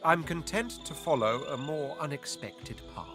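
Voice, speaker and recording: A man playing a proud, eloquent imperial adventurer in a video game, speaking in ornate, measured, theatrical phrases with deliberate pauses. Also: a little high, expressive, over music. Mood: reflective, earnest. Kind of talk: dramatic video game character acting.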